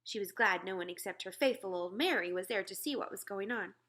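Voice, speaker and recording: A woman narrating a children's storybook aloud in an expressive style. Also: mid-range voice, fairly quick.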